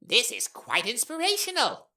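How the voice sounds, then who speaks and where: Woman voicing a bright, animated, earnest monster companion. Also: fairly high pitch, moderate pace, heavily acted. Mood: thoughtful.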